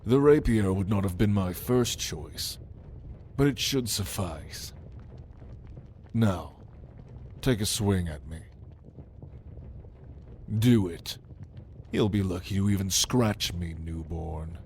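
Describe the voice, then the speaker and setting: A man's voice: low voice, a little mellow, slight background noise. A man playing a proud, commanding demon lord in an ASMR roleplay, speaking in a theatrical, menacing manner to the listener. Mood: commanding, challenging. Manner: slow, imperious, theatrical, menacing.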